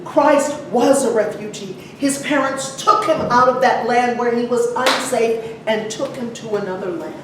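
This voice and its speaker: A woman preaching a sermon to a congregation in a conversational way, putting questions to her listeners and pausing for emphasis. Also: a little high. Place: large echoey church room. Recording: very echoey, distant mic, some noise.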